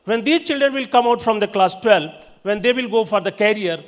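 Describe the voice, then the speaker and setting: A man's voice: high-pitched, brisk. A middle-aged man with an Indian accent, a school principal giving a formal welcome speech into a handheld microphone with emphatic bursts. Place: large indoor school auditorium stage. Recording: echoey large auditorium PA.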